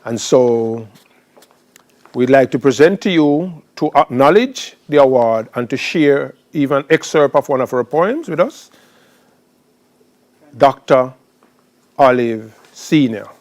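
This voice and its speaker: A man speaks as the host of a literary event, at a middling pitch. His phrasing is deliberate and ornate, built up piece by piece like an orator's. He presents and frames things with rhetorical flourish. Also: lively intonation, a little mellow. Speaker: host of a poetry festival. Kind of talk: spoken introductions at a literary event.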